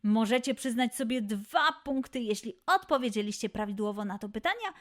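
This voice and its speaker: A woman's voice: on the high side, animated, brisk. A woman hosting a children's quiz show in a playful, scripted way, encouraging her young listeners.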